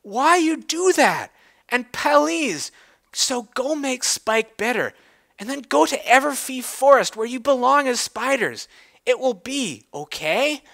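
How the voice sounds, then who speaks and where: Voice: high-pitched, lively intonation, slow pace, creaky, a bit bright, pitched above the performer's own voice, full character voice. A reader voicing an earnest young female pony in a storybook, with a childlike, pleading manner.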